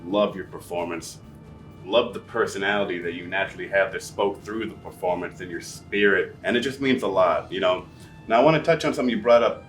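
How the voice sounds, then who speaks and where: A man's voice: low voice, a little fast, recorded from a distance, faint music behind. A man hosting a casual interview, who asks friendly questions and jokes around. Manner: friendly, appreciative, joking. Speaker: interview host.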